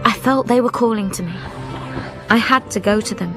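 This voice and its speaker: A woman's voice: fairly high pitch, brisk, close to an everyday voice. A woman playing a haunted, stubborn young widow in a radio drama, speaking intensely in short broken phrases. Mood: haunted, tense. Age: young adult. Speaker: actor and narrator in a radio drama. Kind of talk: dramatic first-person narration and dialogue. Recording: radio drama with music behind.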